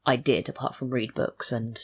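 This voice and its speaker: A woman's voice: extremely low pitch, fairly even intonation, normal speed, creaky, breathy edge. A British woman chatting casually and reflectively as a podcast guest, telling anecdotes about her work. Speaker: podcast guest, audio drama writer and voice actor. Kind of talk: casual interview chat. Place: studio.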